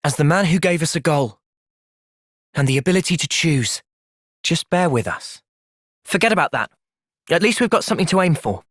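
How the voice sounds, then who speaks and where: A young man playing a thoughtful, earnest young soldier in video game cutscenes, speaking in a gentle, reflective manner. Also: fairly high pitch, expressive, normal speed, pauses often, dry recording, studio quality. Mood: calm, reflective.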